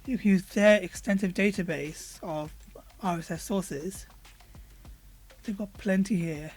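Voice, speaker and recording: A man with a hoarse voice talks through a software demo over his screen recording. He speaks in a relaxed, conversational way, stopping often for ums and uhs as he clicks through each menu. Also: high voice, unhurried, faint music behind. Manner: relaxed, conversational, with frequent fillers. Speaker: product reviewer.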